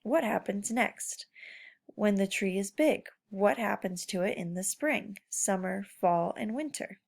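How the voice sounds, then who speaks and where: A woman with a gravelly, creaky edge to her mid-pitched voice teaches young children informally. She speaks as a schoolteacher, guiding them with questions and simple instructions. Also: a little slow. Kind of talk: informal read-aloud lesson for children.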